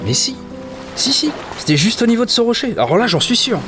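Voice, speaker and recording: An actor playing a tired, anxious dockworker in a fantasy audio drama, with a hesitant, stammering way of talking. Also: male voice, very high voice, very animated, a little fast, over music. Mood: flustered, insistent. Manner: hesitant, stammering, muttering to himself. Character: weary, anxious male dockworker in a fantasy port.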